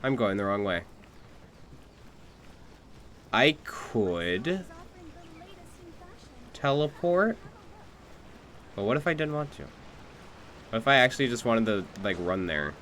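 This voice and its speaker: A young man chatting casually on a game livestream, thinking aloud in a halting way. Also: medium pitch, sing-song, fairly bright tone, a little hiss or hum. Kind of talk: casual stream chat. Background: game music.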